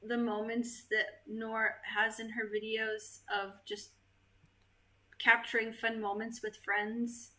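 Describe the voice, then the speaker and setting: A woman's voice: fairly high pitch, little pitch movement, slow pace, sharp tone, low-quality recording. An American woman in her thirties, casually chatting on a livestream and reading out viewer comments with a playful, laughing manner. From United States. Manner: playful, laughs often, reads comments aloud. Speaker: vlogger reading live chat. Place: living room indoors.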